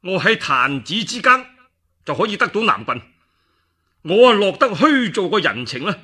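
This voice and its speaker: A man voicing a proud, boastful young commander in Cantonese, with a forceful, declamatory manner. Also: high voice, very animated, moderate pace, slightly rough, voice pushed up, full character voice. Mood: smug, commanding.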